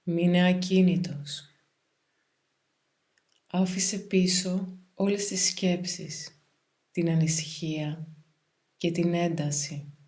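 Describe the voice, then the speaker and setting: A woman guides a meditation in a calm, soothing, measured way, leaving pauses between phrases. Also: on the low side. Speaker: yoga nidra meditation guide.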